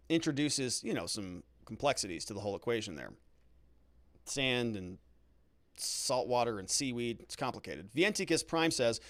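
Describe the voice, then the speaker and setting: A man's voice: on the high side, no room sound. A middle-aged American man hosting a livestream, reading out viewer comments and adding wry, conversational commentary. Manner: wry, conversational, joking asides. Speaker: livestream host and legal commentator.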